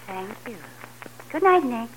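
A high, very animated wife in a 1940s radio comedy. She is coy and exasperated, teasing theatrically as she holds her husband off, a woman heard through faint old broadcast noise. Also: measured pace. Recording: noisy vintage 1940s radio recording.

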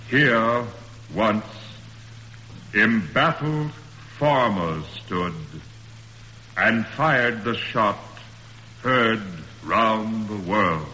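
Slow, solemn orator in a vast hall. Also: male voice, lowish voice, bright, forceful tone, full character voice. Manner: slow, declamatory. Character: solemn orator at a statue unveiling, reciting verse. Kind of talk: 1940s radio acting, ceremonial oratory. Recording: old radio recording, long hall reverb, hiss.

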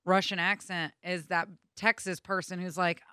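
A podcast co-host who talks things through at length in casual chat, with a bright, fairly tense voice at mid pitch. The close recording is dry. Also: flat delivery, normal speed, clean tone, dry recording. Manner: thoughtful, explains at length, adds playful asides.

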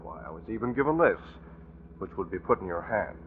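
An actor playing a calm, commanding masked vigilante in a 1940s radio drama, on an old muffled recording. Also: male voice, fairly low pitch, brisk, a little hiss or hum. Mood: firm, menacing.

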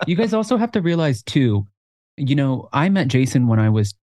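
A man in his twenties co-hosting a podcast in casual chat, talking in an animated way and jumping higher when he quotes himself. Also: normal speed, no echo, clean studio sound. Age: 20s. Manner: animated, jumps up in pitch when quoting himself. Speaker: podcast co-host.

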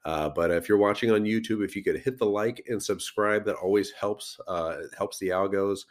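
Man, very low voice, rambling casual podcast host, sick, voice going. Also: steady tone, normal speed. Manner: rambling, lots of fillers and restarts. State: sick, losing his voice.

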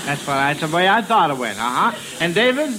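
A man with a fairly high voice and an American accent hosts a vintage radio quiz. He is folksy and encouraging, often hesitating as he goes. Clear hiss covers the old broadcast recording. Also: sing-song, quick pace. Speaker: quiz show host.

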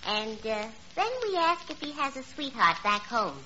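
High, bright, dim man-hungry gal. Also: big pitch swings, moderate pace. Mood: breezy, naive. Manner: naive, matter-of-fact illogic. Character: dim, man-hungry, chatty young single woman. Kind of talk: 1940s radio comedy acting. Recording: dull, hissy 1940s radio recording.

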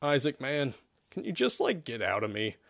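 A man's voice: on the high side, sing-song, measured pace, vocal fry, dry recording, clean studio sound, full character voice. A man voicing an exasperated, casual young man in a comic story, with a whiny, slangy delivery. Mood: weary, pleading.

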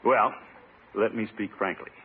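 A man's voice: on the low side, fairly even intonation, a little slow, natural voice. An actor playing a pompous, flustered middle-aged bachelor turned insurance salesman in a radio comedy, with a hesitant, blustering manner. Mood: earnest, flustered. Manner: hesitant, blustering, chuckling delivery. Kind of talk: 1940s radio comedy acting. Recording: tinny, noisy old radio recording.

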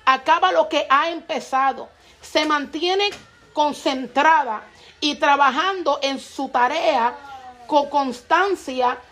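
A woman preaching a fervent sermon, repeatedly breaking in with praise and bursts of tongues and pushing her voice up to stress each point. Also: fairly bright tone. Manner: fervent, peppers speech with "vive Dios, aleluya" and tongues; rises in pitch when stressing points. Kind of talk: Pentecostal-style sermon.

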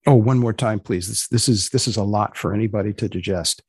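A man hosting a comic science podcast in a dry, deliberate, deadpan way with mock-formal phrasing. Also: lowish voice, slightly rough, dry recording. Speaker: podcast host.

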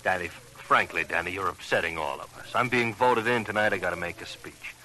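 Jovial, slippery ex-con, sharply bright voice. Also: male voice, low voice, a little fast. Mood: uneasy, evasive. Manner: effusive, repeats words, coos over birds. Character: jovial, slippery ex-con pigeon fancier, doting and evasive. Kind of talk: 1950s radio crime drama acting. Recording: noisy old radio recording.

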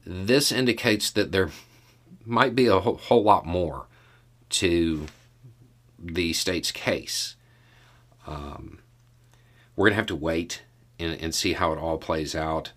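A man with a very low voice hosts a casual news commentary. He speaks slowly and in a measured way, leaving deliberate pauses as he works through each point. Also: a bit bright. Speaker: podcast host commentator.

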